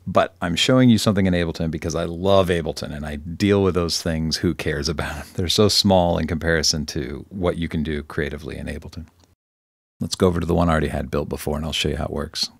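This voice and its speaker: A man presenting an informal software demonstration, rambling a little, correcting himself and joking dryly. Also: extremely low pitch, steady tone, quick pace, gravelly, dry recording. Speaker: tutorial presenter.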